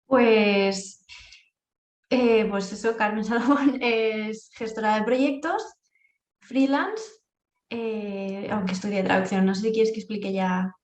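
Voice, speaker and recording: A woman's voice: medium pitch, takes it slowly, a little mellow, roomy sound. A woman with an accent from Spain, giving conversational answers as an interview guest. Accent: Spanish from Spain. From Castilla-La Mancha, Spain. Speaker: interview guest, freelance project manager. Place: indoors at home, echoey room.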